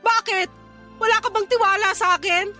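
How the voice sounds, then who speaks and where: A woman's voice: extremely high pitch, fairly even intonation, moderate pace, sharp tone, faint music behind. A woman playing a desperate, regretful pregnant woman in a radio drama, emotional and pleading, with a Filipino accent. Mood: defensive, desperate. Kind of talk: Tagalog radio drama acting.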